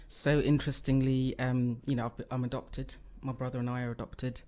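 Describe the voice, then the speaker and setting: A woman's voice: extremely low pitch, level tone, moderate pace, dark tone. A woman from East Africa, raised in the UK since age eleven, chatting openly as a podcast guest with frequent fillers. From born in Uganda, raised in Kenya and Tanzania, UK since age eleven. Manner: chatty and open, laughs easily, many fillers. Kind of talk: conversational podcast interview.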